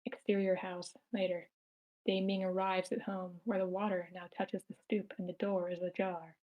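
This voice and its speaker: A woman's voice: medium pitch, flat delivery, normal speed, dull audio. A woman narrator reading screenplay stage directions aloud.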